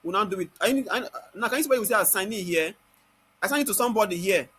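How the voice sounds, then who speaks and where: A Nigerian-accented man giving an informal step-by-step software tutorial, constantly asking rhetorical questions and answering them himself. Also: high voice, lively intonation, rapid-fire, breathy edge.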